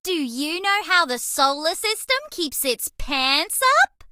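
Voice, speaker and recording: Very squeaky, bubbly alien mouse girl. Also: animated, slow, bright, forceful tone, no room sound, studio quality, heavily acted. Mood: playful. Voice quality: squeaky, girlish.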